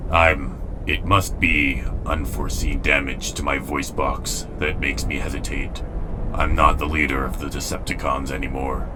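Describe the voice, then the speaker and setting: An actor playing a weary, principled robot rebel leader in a comic dub, speaking gravely and formally. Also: male voice, extremely low pitch, noisy background. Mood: regretful. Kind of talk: dramatic comic dub acting.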